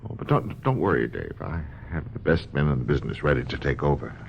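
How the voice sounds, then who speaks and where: An actor playing a grave, patient, conflicted psychoanalyst in a radio drama, explaining himself in a measured, hesitant way. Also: male voice, a bass voice, very animated, gravelly, exaggerated voice. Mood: troubled, resigned. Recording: muffled lo-fi radio drama, faint noise.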